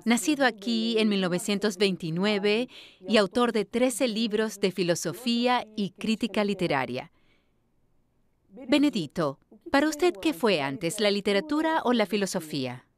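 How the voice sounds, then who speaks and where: Actress as articulate documentary interviewer, mid-pitched. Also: female voice, expressive, normal speed, lightly acted. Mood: inquiring, composed. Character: attentive, literate interviewer summing up a guest's life. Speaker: dubbed interviewer. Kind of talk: scripted documentary interview dub.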